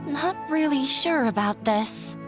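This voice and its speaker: A woman's voice: sits high, animated, takes it slowly. A woman voicing a shy, anxious, self-doubting girl in a video game, hesitant and timid. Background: music.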